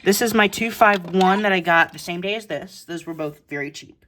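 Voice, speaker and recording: A woman's voice: lowish voice, moderate pace, a bit bright, a little hiss or hum. A woman giving a casual, blunt, informal show-and-tell tour of a collection as a vlogger. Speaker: vlogger showing a radio collection.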